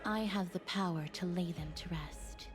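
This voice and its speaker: An actress playing a gentle, solemn young maiden in a video game, speaking softly, formally and with composure. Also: female voice, lowish voice, moderate pace, lightly acted. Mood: calm. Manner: soft-spoken, formal, composed. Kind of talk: video game drama acting. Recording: game music behind voice.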